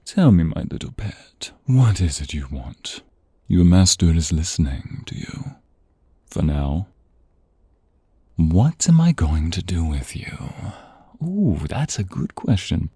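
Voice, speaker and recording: Man acting a very deep, husky vampire master. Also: big pitch swings, dark tone, dry recording, clean studio sound, exaggerated voice. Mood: domineering, smug. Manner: slow, teasing, commanding, often slipping into whispers. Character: sinister, seductive male vampire, domineering and predatory master. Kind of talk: ASMR audio roleplay. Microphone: close, dry studio microphone.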